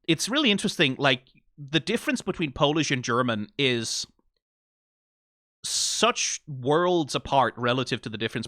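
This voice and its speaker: A man's voice: medium pitch, pushed and bright, no room sound, clean studio sound. A Danish man with a Danish accent hosting a reaction video, rambling casually from tangent to tangent, swearing now and then and often asking "right?". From Denmark.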